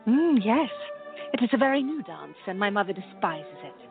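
An actress playing a playful, mischievous young Regency gentlewoman in a radio play. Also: medium pitch, big pitch swings, normal speed. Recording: phone-like audio under clear music.

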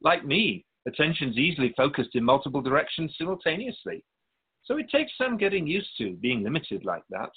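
Man playing a wise spirit, lively and husky. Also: on the high side, a little fast, narrow, tinny audio. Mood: patient, explanatory. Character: Keeper of Souls' Purpose, wise otherworldly spirit, patient and curious. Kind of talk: radio drama dialogue.

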